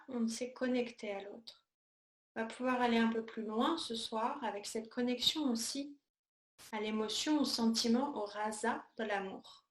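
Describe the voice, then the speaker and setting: A woman teaching yoga, guiding a meditation in a calm, soothing, unhurried way with pauses between short phrases. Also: on the high side. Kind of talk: guided yoga and meditation instruction. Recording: echoey room sound.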